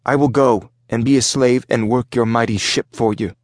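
A man voicing an earnest, defiant ten-year-old boy in an audiobook, speaking with quiet determination. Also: mid-range voice, fairly even intonation, normal speed, no echo, lightly acted. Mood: earnest, determined. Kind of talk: audiobook character voice.

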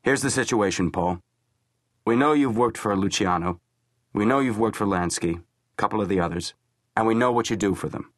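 A man voicing a gruff middle-aged naval intelligence commander who talks bluntly and gives orders. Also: fairly low pitch, brisk, dry recording, studio quality, lightly acted. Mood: commanding. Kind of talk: audiobook character voice.

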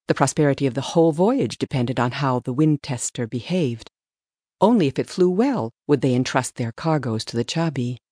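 A woman narrates an audiobook story from a script. Her voice is low for a woman and recorded dry and close, with little room sound. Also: expressive, normal speed, warm tone, no echo.

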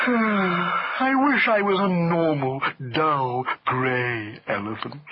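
Slow, mournful talking elephant. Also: male voice, mid-range voice, big pitch swings, breathy edge, highs cut off, exaggerated voice. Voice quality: heavy, drawn-out, sighing delivery. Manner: melodramatic, self-pitying, haughty wording. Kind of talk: storybook character voice.

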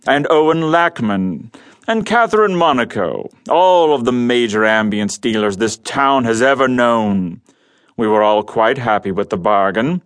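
An actor playing a huge, quietly menacing male crime boss in an audio drama, with a rumbly voice and measured, formal speech. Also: lightly acted. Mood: smug, matter-of-fact.

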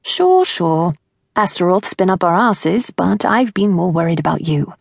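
A woman's voice: fairly low pitch, animated, measured pace, gravelly, husky, no room sound, clean studio sound, exaggerated voice. A woman voicing a young English woman, a playful, no-nonsense friend with a sweet voice and blunt, teasing, slangy talk in an audiobook story. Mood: relieved, affectionate.

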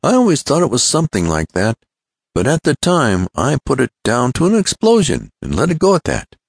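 A man's voice: medium pitch, huge pitch swings, brisk, creaky, husky, no room sound, studio quality, voice pushed up, heavily acted. A man voicing an eager, joking young male friend of an inventor in an adventure storybook, breezy, exclamatory and full of questions. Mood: rueful, chatty.

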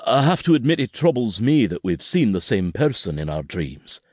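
A man voicing a tender, steady Highland father with a gentle, reassuring way of talking. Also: moderate pace, slightly rough, dry recording, clean studio sound.

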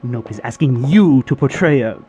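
A man's voice: medium pitch, expressive, a little fast, warm tone, soft background music, natural voice. A man playing a pompous, indignant veteran soap opera actor in an audio drama, with a theatrical, grandiloquent manner.

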